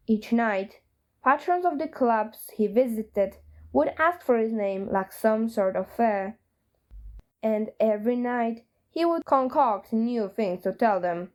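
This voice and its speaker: A woman's voice: fairly high pitch, darkish tone. A woman reading a story aloud as a scripted narration. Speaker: fan fiction reader.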